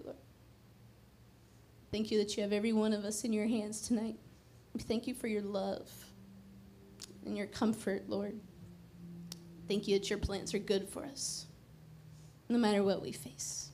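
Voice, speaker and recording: A woman's voice: mid-range voice, level tone, normal speed, pauses often, slight background noise. A woman, preaching a conversational, emphatic sermon into a handheld mic on a church stage. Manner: conversational, emphatic, drops to a hushed prayer.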